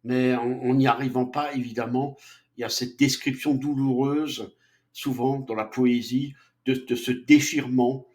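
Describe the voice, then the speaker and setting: A middle-aged man talks slowly, in a halting, lecturing way. He often stops to restart his sentences, with an even, mid-range pitch. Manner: hesitant, many fillers and restarts, lecturing. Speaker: commentator. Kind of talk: casual spoken monologue.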